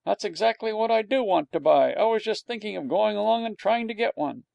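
Guy doing an old caretaker in a storybook, high and gravelly, kinda grudging. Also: expressive, fairly quick, darkish tone, dry recording, dull audio, full character voice. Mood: chatty.

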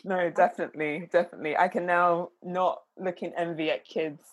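A woman chats as a podcast guest and tells self-deprecating stories with frequent giggles. Her voice is husky and relaxed. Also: lowish voice, a little fast. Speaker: guest, creative director. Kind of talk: casual podcast chat.

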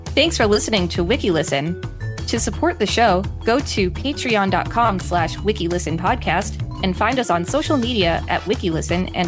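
A woman co-hosting a podcast, narrating a scripted encyclopedia-style article aloud. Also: mid-range voice.

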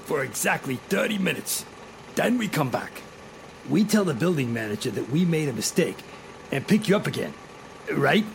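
A man's voice: on the high side, big pitch swings, moderate pace. An actor playing a dim, eager, deferential henchman with slangy talk, in 1940s radio drama style. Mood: eager, obedient. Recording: clear car engine noise.

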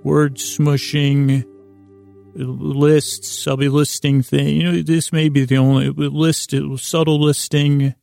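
Male sleep podcast host: heavy vocal fry, flat intonation, halting soothing rambles full of word stumbles. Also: fairly high pitch, dry recording, soft background music. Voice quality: creaky. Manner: meandering, halting, stumbles over words. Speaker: podcast host and bedtime storyteller.

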